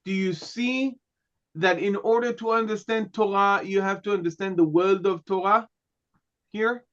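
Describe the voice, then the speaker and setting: High-voiced, unhurried male teacher. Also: muffled recording. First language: Hebrew. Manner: relaxed, repeats "okay" and "right", joking asides. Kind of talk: lecturing.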